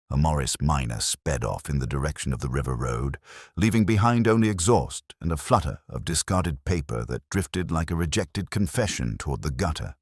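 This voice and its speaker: Very deep, wry male story narrator. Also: no echo, clean studio sound. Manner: wry, descriptive, measured.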